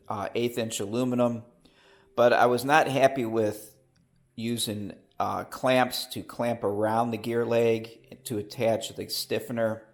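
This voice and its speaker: A man with a cold, his voice rough and gravelly, chatting casually in his home workshop about building his aircraft, rambling and correcting himself as he goes. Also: lowish voice. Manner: rambling, self-correcting, with hesitations. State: has a cold.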